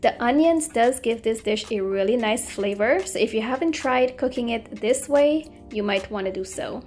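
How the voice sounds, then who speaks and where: A woman's voice: fairly high pitch, lively intonation, moderate pace, a little mellow. A woman cooking host narrating a recipe voice-over in a friendly, polite way. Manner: friendly, polite, appreciative greetings to viewers. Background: background music.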